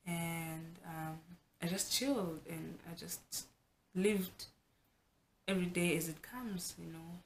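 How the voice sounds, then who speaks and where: A young woman with a South African accent and Xhosa as her first language, chatting to her camera at home. Her voice sits low and has a gravelly edge. A faint hiss runs under the recording, and she talks frankly, often restarting mid-sentence. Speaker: vlogger. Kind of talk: casual personal vlog.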